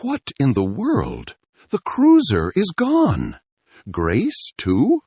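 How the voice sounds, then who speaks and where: A man's voice: mid-range voice, huge pitch swings, very slow, mellow, husky, no echo, pitched above the performer's own voice, full character voice. A man voicing an alarmed, bewildered stranded explorer in a sci-fi story, exclaiming dramatically. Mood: shocked.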